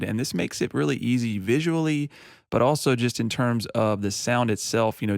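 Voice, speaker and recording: A man with a deep, low voice explains software features in a relaxed, conversational way, dropping in casual fillers. The sound is dry and close, with no room around it. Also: no room sound. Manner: relaxed, explanatory, uses fillers like "you know". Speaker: audio software demonstrator.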